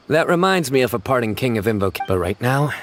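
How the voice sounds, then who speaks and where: An actor voicing a stern, deadpan young male guardian with grave, dryly theatrical delivery in a fantasy video game. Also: medium pitch, moderate pace, a little hiss or hum, natural voice. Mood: solemn. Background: game music.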